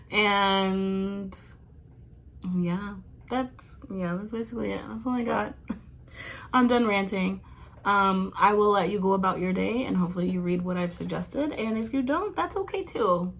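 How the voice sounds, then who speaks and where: A young woman chatting casually to her viewers in a rambling review, laughing often and filling pauses with "like" and "um". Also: mid-range voice, expressive, gravelly, a little hiss or hum. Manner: chatty, giggly, rambling with many fillers. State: losing her voice. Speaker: vlogger reviewing comics.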